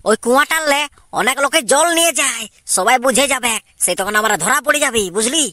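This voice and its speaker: Man acting high-pitched, scheming young cartoon lover. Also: fairly quick, pushed and bright, no echo. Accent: Purulia Bengali. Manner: insistent, plotting. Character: scheming, cocky young male secret lover. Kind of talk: amateur cartoon dialogue.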